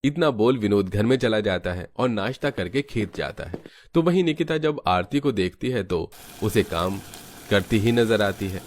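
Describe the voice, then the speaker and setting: A man narrates a cartoon family story in a low-pitched voice. His delivery is scripted storytelling. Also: animated, moderate pace, a little hiss or hum.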